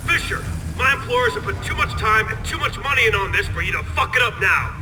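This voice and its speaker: A man's voice: sits high, big pitch swings, brisk. A man playing a hard, menacing hired mercenary in a video game cutscene. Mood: threatening. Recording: distant, noisy, outdoor vehicle din.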